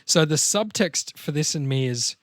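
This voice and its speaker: A man's voice: fairly high pitch, animated, normal speed, a bit bright, dry recording, studio quality. A man chatting casually as he explains acting ideas and hosts a script discussion.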